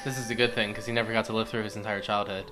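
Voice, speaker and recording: A man's voice: fairly low pitch, level tone, racing, pushed and bright. A man co-commentating on a video game in casual chat, with a wry, joking manner. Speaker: co-commentator of a let's play. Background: video game music.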